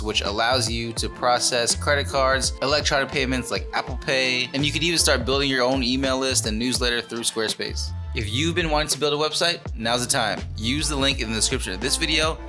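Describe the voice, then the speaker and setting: A man who hosts a video series, delivering a scripted sponsor message in narration. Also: medium pitch. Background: plucked guitar music.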